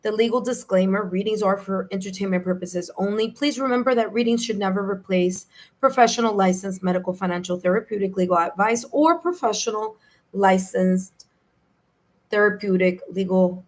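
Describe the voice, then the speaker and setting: Raspy-voiced New York woman streaming. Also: mid-range voice, normal speed. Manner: friendly, chatty, laughs easily. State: slightly hoarse, raspy voice. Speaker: tarot reader hosting a live stream. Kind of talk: casual live-stream chat, greeting viewers.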